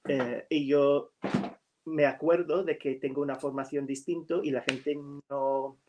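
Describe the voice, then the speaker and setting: A man whose first language is English, a writer in a casual chat over a video call, speaking slowly and hesitantly with many fillers. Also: mid-range voice, steady tone, a little mellow, slight background noise. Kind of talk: casual conversation.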